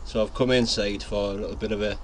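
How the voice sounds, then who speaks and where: A man's voice: on the low side, steady tone, quick pace. A man in his forties with a Northeast English accent chatting casually to a handheld camera, rambling with lots of ums and restarts. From North East England. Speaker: allotment vlogger. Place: outdoor allotment garden, then indoors in a shed. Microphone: handheld camera mic, then fixed camera. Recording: outdoor ambience with sirens and traffic. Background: outdoor ambience, traffic.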